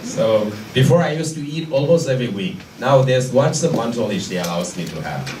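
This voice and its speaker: A man's voice: medium pitch, animated. Indian-accented man speaking in an echoey room. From Manipur, India. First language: Manipuri. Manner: halting, searching for words, repeats phrases. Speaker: guest lecturer. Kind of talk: informal public talk with audience questions. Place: indoor lecture room. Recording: echoey room, distant mic, faint noise.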